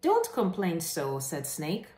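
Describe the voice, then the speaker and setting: A woman with a slightly low voice presents stories for children in an echoey room. Her delivery is expressive and deliberate, leaning on key words as a storyteller does when reading aloud to an audience on camera.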